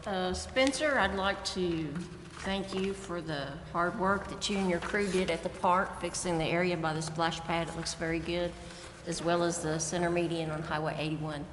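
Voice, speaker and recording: A woman speaks as a council member at a public meeting. Her voice sits fairly low. It carries long reverb, with clear noise in the chamber. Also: fairly even intonation, normal speed, few pauses. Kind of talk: brief thanks at a public meeting. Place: indoor city council chamber. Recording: echoey, noisy council chamber.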